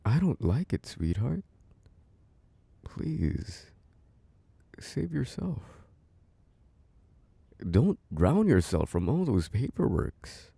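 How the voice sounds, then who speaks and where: A devoted, protective boyfriend, played by a man, coaxes a tired partner toward sleep in an intimate ASMR roleplay. His voice is low and mellow, recorded close and dry. He murmurs tender reassurance in soft, breathy tones. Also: dry recording, exaggerated voice.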